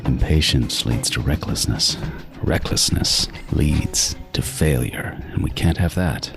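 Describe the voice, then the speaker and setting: A man playing a meticulous, obsessive serial killer who narrates a horror audio drama in a deliberate, brooding, gloating manner. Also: a bass voice, vocal fry, heavily acted. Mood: cold, methodical. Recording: music bed under the voice.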